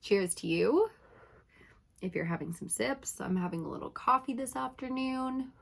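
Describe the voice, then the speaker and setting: A woman reading tarot for her viewers in a casual, chatty way, her voice slightly raspy from hours of talking, often checking in with "okay?". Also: on the high side, expressive, moderate pace. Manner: chatty, laughing, uses filler like "okay?". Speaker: tarot reader.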